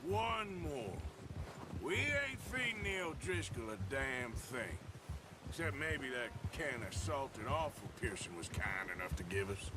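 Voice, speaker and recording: An American actor playing a rugged, wry Old West outlaw in a video game cutscene, with a folksy drawl. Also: male voice, mid-range voice, animated, a little slow, background noise, lightly acted. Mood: dry, weary.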